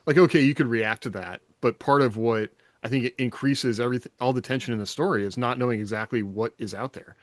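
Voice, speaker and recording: A man's voice: mid-range voice, quick pace, few pauses, no room sound. A man in a casual podcast panel discussion, explaining his thinking reflectively and often checking in with rhetorical questions.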